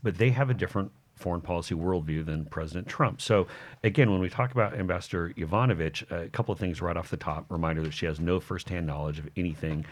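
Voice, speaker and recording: A man with a low voice speaks as a guest commentator. He delivers his political talking points confidently, with a brisk and practiced rhythm.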